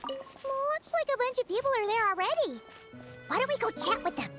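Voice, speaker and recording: A woman's voice: very high voice, very animated, moderate pace, full character voice. A woman voicing a tiny, childlike, cheerful fairy companion in a video game, chirpy in manner. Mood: chirpy, curious. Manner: refers to self in third person, chirpy. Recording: loud game music behind. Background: game music.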